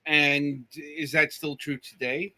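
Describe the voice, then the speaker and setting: A man's voice: on the high side, flat delivery, slow, pushed and bright. A man hosting an interview show, asking questions in a loose, chatty way full of fillers. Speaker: podcast interview host.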